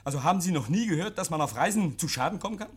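A man's voice: a little high, animated, rapid-fire, slightly rough. An actor in a 1950s radio play playing a nervous, paranoid man hiding guilt, agitated and stammering. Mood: defensive, irritated. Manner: agitated, stammering, insistent, hears imaginary noises. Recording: vintage 1950s radio recording.

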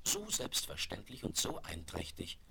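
A man narrating a 1970s German children's radio play in a measured, literary storytelling manner. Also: sits low, huge pitch swings, gravelly, whispered, heavily acted. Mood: calm narration. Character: storyteller of a children's horse adventure.

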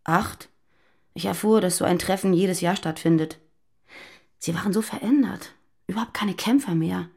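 A woman playing a fearful, guarded former militant on the run in a naturalistic radio drama, confiding and halting. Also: fairly low pitch, creaky, darkish tone, husky.

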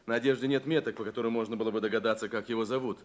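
An actor playing a factual male police investigator, giving a brisk report in a radio play. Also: lowish voice, steady tone, lightly acted. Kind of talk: Russian radio play acting.

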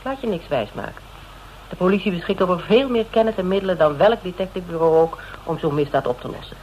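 An actor playing a persistent, polite private detective in a Dutch radio drama, speaking in a measured, explanatory, quietly probing way. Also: male voice, high voice, dull audio, lightly acted. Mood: calm, explanatory. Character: persistent male private detective questioning a suspect, methodical and polite. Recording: noisy old radio drama recording.

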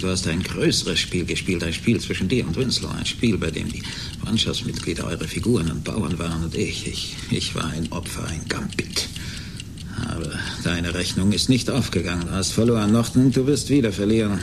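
A bitter middle-aged man out for revenge in a radio drama. His voice is deep, and he taunts and accuses with sneering, theatrical weight. There is clear noise behind him. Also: normal speed. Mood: scornful, goading. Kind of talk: German radio drama acting. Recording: noisy 1980s radio drama recording.

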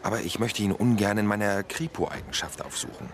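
An actor playing a scheming police detective who talks casually and cajolingly in a radio play. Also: male voice, fairly low pitch, brisk, natural voice. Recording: clear background noise and ambience.

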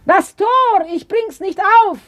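An actress playing a proud, pious old mistress of a house in a radio play, musing theatrically and easily aggrieved. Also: female voice, extremely high pitch, slow, no room sound. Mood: pleading, dismayed. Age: older. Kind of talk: 1960s German radio play acting.

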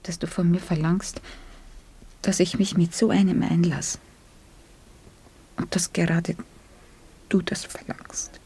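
Breathy, husky, wounded woman. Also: fairly low pitch, flat delivery, slow, pauses often, dark tone, whispered, heavily acted. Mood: hurt, bitter. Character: wounded, bitter woman standing up to her man. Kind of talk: radio play acting.

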